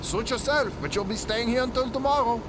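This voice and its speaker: Stiff guard at a roadblock, deadpan rule follower, game cutscene, really noisy outside. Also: male voice, very high-pitched, a little fast. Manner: rigid, by-the-book, deadpan. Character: officious, unbending German checkpoint guard. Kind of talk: video game cutscene acting. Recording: loud vehicle and outdoor noise.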